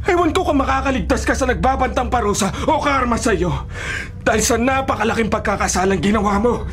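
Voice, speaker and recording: An actor playing a long-suffering, accusing husband in a Filipino radio drama, declaiming with bitter emotion. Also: male voice, very high-pitched, brisk, a bit bright. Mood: anguished.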